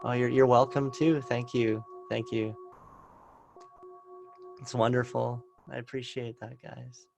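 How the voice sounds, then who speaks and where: A calm, soft-spoken man teaching meditation, guiding a session and then answering questions from an online group. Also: medium pitch, takes it slowly, vocal fry, long pauses, soft background music. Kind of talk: guided meditation and live Q&A webinar. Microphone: video call.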